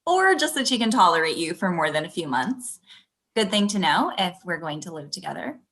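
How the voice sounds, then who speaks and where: Actress as wry, polite girlfriend, thirty, animated intonation, comedy script read. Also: fairly low pitch, a little fast. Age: young adult.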